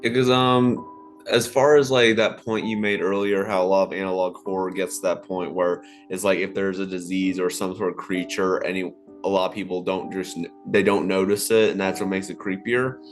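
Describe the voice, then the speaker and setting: A man with a lowish voice conducts a casual interview. He talks in a halting, wordy way with plenty of "like", over faint plucked guitar music. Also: level tone. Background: soft plucked guitar music.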